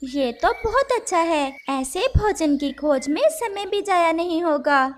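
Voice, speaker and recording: A woman voicing a caring, gentle mother sparrow in a Hindi children's cartoon, with simple, clearly addressed lines. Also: very high-pitched, animated, measured pace, slight background noise. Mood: earnest, kindly.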